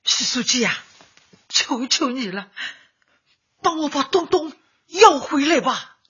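A woman's voice: high-pitched, lively intonation, slow pace, a bit bright, exaggerated voice. An actress in a radio drama playing a grief-stricken elderly grandmother, tearful and pleading. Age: older. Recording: dull lo-fi, music behind.

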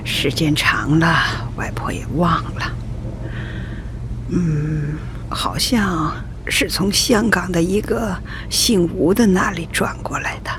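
A woman playing a long-suffering old grandmother in a radio drama, recalling the past in a halting, sighing, wistful way. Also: lowish voice, noisy background. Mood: reminiscent, wistful. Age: older. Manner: halting, sighing, reminiscent delivery. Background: cello and string music.

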